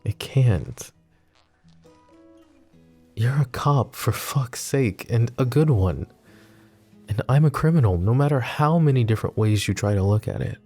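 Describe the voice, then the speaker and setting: A man playing a flirty, possessive mafia boss who is smitten and vulnerable, speaking in soft, teasing, intimate ASMR roleplay. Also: low voice, steady tone, unhurried, pauses often, faint music behind, heavily acted. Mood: tender, vulnerable. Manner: soft teasing banter with breathy chuckles.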